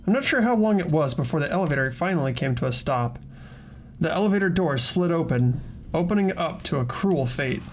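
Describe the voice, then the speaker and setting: A man with a slightly high voice narrates a video game. He moves between dramatic reading and casual talk among players, over faint background noise. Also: animated, fairly quick.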